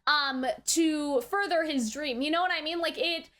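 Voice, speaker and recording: A woman's voice: high-pitched, normal speed. A young woman giving a casual, rambling, slangy recap and commentary as an anime reviewer, teasing and exasperated. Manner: slangy, chatty, peppered with like and rhetorical checks; animated, exasperated teasing of characters.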